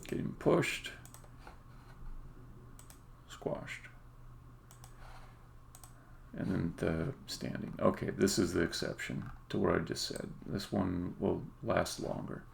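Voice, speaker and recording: Guy streaming his game dev stuff, thinking out loud, real low crackly voice, bit of hiss and typing. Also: expressive, vocal fry. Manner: halting, thinking aloud. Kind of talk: casual live-stream demo commentary. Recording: noisy, with keyboard typing and clicking.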